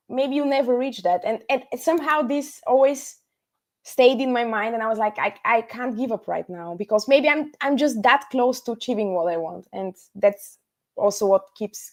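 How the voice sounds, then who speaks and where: A young woman from Bulgaria answering casually as an interview guest, reflective and earnest, with hesitant pauses. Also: a little high. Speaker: interview guest, startup founder.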